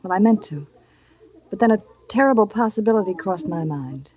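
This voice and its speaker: An actress playing an anxious, pleading detective's wife in an old-time radio drama, hesitant and earnest. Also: female voice, mid-range voice, expressive, fairly quick, close to an everyday voice. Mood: anxious, confiding. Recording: dull vintage radio recording.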